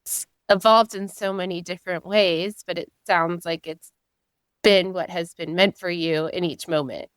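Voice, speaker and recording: A woman's voice: fairly low pitch, steady tone, normal speed, clean tone, dry recording. A woman in her thirties hosting an interview podcast, affirming and admiring toward her guest. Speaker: podcast host.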